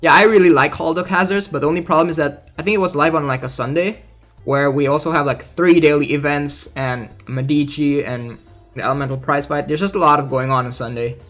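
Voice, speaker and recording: A man's voice: on the high side, steady tone, brisk, fairly bright tone. A man giving casual commentary as he reads out game news, informal and thinking aloud. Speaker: gaming content creator. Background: background music.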